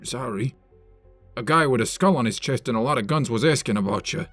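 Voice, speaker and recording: A man's voice: mid-range voice, fairly quick, fairly bright tone, natural voice. A man voicing a frightened petty criminal informant in a comic dub, stammering and pleading. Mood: scared. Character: cowardly, frightened male small-time crook informant. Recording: music behind the voice.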